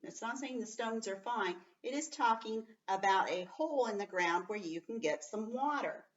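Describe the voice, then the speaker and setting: A woman teaching young children, reading aloud expressively and explaining simply and patiently, slowing right down to stress words. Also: fairly high pitch, distant mic, dull audio. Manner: expressive, emphatic, slows right down on story words.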